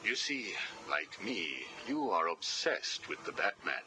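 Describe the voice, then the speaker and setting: Older male mastermind, deep, expressive, measured, condescending, clinical menace, video game villain, hissy audio. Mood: smug, measured. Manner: measured, clipped, commanding, clinical. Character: sinister male psychiatrist villain, older, cold, controlling, condescending. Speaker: villain, prison warden.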